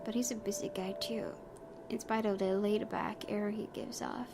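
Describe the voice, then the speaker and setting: A woman streamer reads a visual novel's story aloud. Her pitch is average and she sometimes trips over words, slipping into casual commentary. Clear background noise sits behind her voice. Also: flat delivery, moderate pace. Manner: stumbles over words while reading. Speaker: let's play streamer. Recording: clearly noisy home recording.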